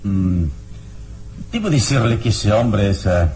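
An actor playing a calm, wise adventurer hero with a solemn, deliberate delivery in a radio drama. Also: male voice, lowish voice, slight background noise. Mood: calm, pondering. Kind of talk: dramatic radio narration and acting. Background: dramatic music.

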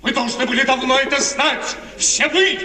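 Imperious young prince in love, theatrical and impassioned; echoing, distant vintage radio tragedy recording. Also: male voice, very high voice, moderate pace, sharp tone, exaggerated voice. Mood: furious, anguished. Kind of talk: 1950s radio drama acting. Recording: echoey, distant, lightly noisy 1950s radio recording.

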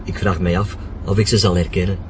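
An actor playing a laid-back, brooding Flemish criminal accomplice, unhurried, in an audio drama. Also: male voice, low voice, little pitch movement, far from the mic, natural voice. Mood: musing. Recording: off-mic, with vehicle noise behind.

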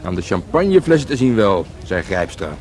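An actor playing a laid-back police sergeant in a radio play, with a wry, teasing way of talking. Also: male voice, fairly low pitch, sing-song, fairly quick. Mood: amused, teasing.

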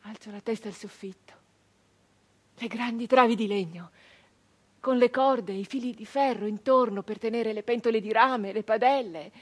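An actress playing a wistful nineteenth-century peasant brigand woman in a halting, emotional theatrical monologue, with a husky voice. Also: a little high. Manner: hesitant repetitions, halting, emotional reminiscence. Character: 19th-century Italian peasant-born brigand woman, wistful, remembering home. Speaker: stage actress. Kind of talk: theatrical dramatic monologue for radio.

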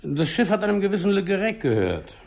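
An actor playing an affable, deferential small-town mayor in a 1960s German radio crime drama, talking in a conciliatory, slightly hesitant way. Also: male voice, sits high, big pitch swings, fast talker, vocal fry, a little mellow, heavily acted. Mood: reflective, informative.

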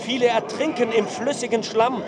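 An urgent radio-play battle reporter, his voice pitched high. A loud crowd and heavy noise surge behind his commentary. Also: steady tone, normal speed. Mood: urgent, grave. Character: urgent male battlefield reporter of a medieval slaughter. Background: noisy outdoor crowd.

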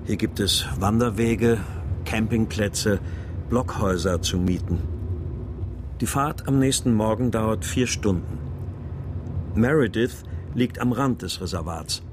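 An actor playing a troubled husband searching for his missing family, narrating and speaking in a measured, brooding way in a radio crime drama. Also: fairly low pitch, background noise, lightly acted. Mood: weary, reflective. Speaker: lead actor and first-person narrator.

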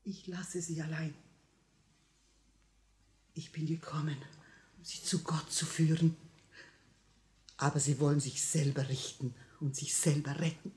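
A woman's voice: sits low, level tone, a little mellow. A woman acting a grieving, devout grand duchess, solemn and insistent, in 1950 radio drama style. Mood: solemn resolve. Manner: solemn, pleading, drawn-out delivery. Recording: vintage 1950 radio recording.

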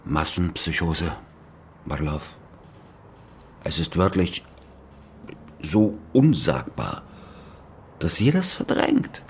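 Grimly resigned Soviet official, rumbling bass, animated intonation, ponderous delivery, radio drama, some background noise. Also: male voice, slow, long pauses, heavily acted. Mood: ominous. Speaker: actor in a radio play. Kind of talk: German radio drama acting.

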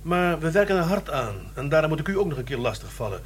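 An actor playing a polite, persistent police detective in a radio drama, speaking formally. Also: male voice, fairly high pitch, sing-song, fast, a little hiss or hum. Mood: polite, insistent. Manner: formal, courteous.

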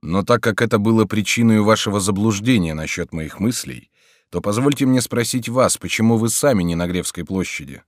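A man voicing a calm, ironic tall stranger who speaks in an even, measured way. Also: lowish voice, dry recording, clean studio sound, lightly acted. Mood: mocking.

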